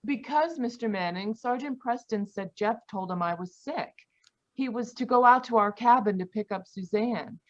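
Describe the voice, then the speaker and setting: A woman's voice: fairly high pitch, normal speed, close to an everyday voice. A woman acting an indignant, affronted young woman in an old-time Yukon radio drama, over dull video-call sound. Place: home, live online video performance.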